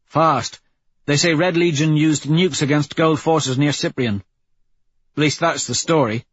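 A man voicing a brisk female tech soldier giving clipped, factual reports in an audiobook. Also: fairly high pitch, husky, no room sound, muffled recording, voice pushed up. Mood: focused.

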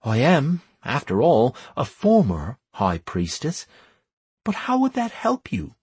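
A man voicing a bewildered, questioning former high priestess in an audiobook story. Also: wildly swooping pitch, slow pace, darkish tone, slightly rough, dry recording, full character voice. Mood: confident, puzzled.

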